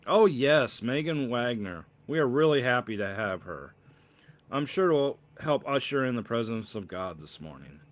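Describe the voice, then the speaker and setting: A man's voice: medium pitch, animated, moderate pace, close to an everyday voice. Polished, pompous young pastor played by a man. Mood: polite. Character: young male associate pastor, polished, slightly self-important.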